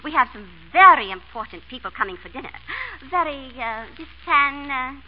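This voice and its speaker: Casting: genteel-aspiring American matron, very high swooping voice, fussy and commanding, old radio comedy. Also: female voice, expressive, normal speed. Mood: bossy, prim. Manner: affectedly refined, bossy. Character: fussy, genteel, social-climbing American small-town wife. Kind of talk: 1930s-40s radio comedy acting. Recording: dull, noisy vintage radio broadcast.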